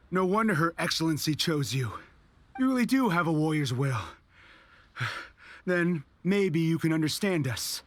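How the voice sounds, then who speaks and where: An actor playing a grim, stubborn rebel soldier in a video game, intense and defiant in tone. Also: male voice, a little high, dry recording. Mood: resolute.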